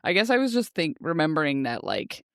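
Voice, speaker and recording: A woman's voice: deep voice, big pitch swings, a little fast, clean tone, no room sound, clean studio sound. A woman co-hosting a podcast, chatting casually about a book in a jokey, questioning way. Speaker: podcast co-host. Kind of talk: casual book discussion chat.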